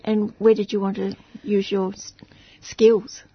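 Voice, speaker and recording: A woman's voice: medium pitch, little pitch movement, measured pace, slightly rough, highs cut off. A woman presenting a community radio interview, asking short prompting questions.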